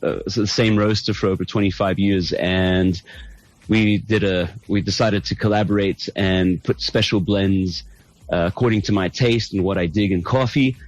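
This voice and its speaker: Middle-aged south african guy, lowish voice, stops and restarts a lot, sounds a bit muffled. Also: fairly even intonation, normal speed. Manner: thoughtful, hesitant, many restarts and fillers. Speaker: band lead singer, guest. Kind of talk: casual interview chat.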